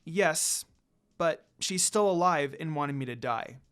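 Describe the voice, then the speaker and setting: A man's voice: on the high side, expressive, takes it slowly, a bit bright, clean tone, soft background music. A man voicing a heartbroken, earnest young priestess in a dramatic story reading. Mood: sad.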